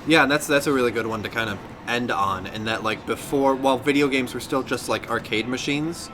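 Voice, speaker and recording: A man's voice: medium pitch, fairly quick, noisy background. A man hosting a podcast in casual gaming chat, talking in a rambling, self-correcting way. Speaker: podcast host.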